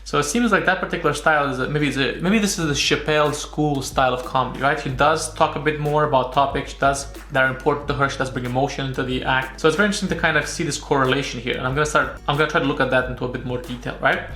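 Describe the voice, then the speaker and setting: A man's voice: mid-range voice, steady tone, very fast, few pauses, pushed and bright. A man from Romania giving casual, analytical review commentary, often rephrasing himself and checking in with "right?". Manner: repeats and rephrases, often tags sentences with "right?". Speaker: YouTube comedy reviewer and comedian. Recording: roomy echo with music behind.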